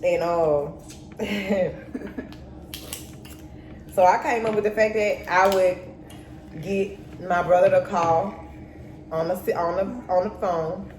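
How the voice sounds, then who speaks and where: A woman's voice: lowish voice, steady tone, slow pace, long pauses, a little mellow. A middle-aged woman telling a personal story casually and candidly, acting out remembered conversations as she goes. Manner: candid, acts out remembered dialogue. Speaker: mother co-hosting a storytime vlog with her daughter. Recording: roomy echo, faint background noise.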